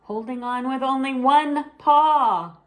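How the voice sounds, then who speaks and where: A bossy big-sister storybook critter, played by a woman reading aloud, full of showy confidence. The voice is lifted high and loud, delivering lines like grand announcements. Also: takes it slowly. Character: bossy, showy big-sister animal with whiskers and fluffy tail. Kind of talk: children's storybook character voice.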